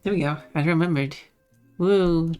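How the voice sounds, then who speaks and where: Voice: medium pitch, moderate pace, mellow, clean tone. A streamer chatting casually in a rambling, self-correcting way with giggly asides. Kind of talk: casual livestream chat. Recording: music behind the voice. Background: background music.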